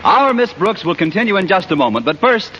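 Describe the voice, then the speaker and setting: A man's voice: high voice, very animated, a little fast, crowd noise, noisy background. A man announcing in an emphatic, formal 1940s radio style. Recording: muffled, reverberant 1940s radio recording.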